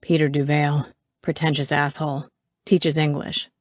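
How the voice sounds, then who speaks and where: A woman voicing a young man who murmurs wry gossip softly and intimately in an audiobook. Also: low voice, steady tone, moderate pace, no echo, studio quality. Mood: intimate, amused. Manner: hushed, whisper-soft, wry.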